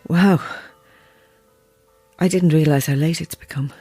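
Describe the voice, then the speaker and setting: A woman's voice: deep voice, steady tone, measured pace, warm tone, slightly rough, soft background music. A woman playing a composed, sceptical novelist in an audio drama, with a dry, understated and guarded manner. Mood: uneasy. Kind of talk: dramatic audio fiction acting.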